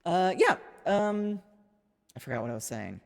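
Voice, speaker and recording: A woman with a slightly raspy voice, streaming a story game, reading its text aloud and chatting casually with some swearing. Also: lowish voice, big pitch swings, unhurried, a little mellow. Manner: chatty, swearing, laughing, trailing off. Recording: music playing in the background.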